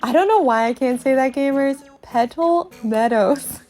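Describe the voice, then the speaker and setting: A woman's voice: high-pitched, moderate pace, darkish tone, faint music behind. A woman hosting and narrating a dramatic read-through of a video game. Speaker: podcast host and narrator.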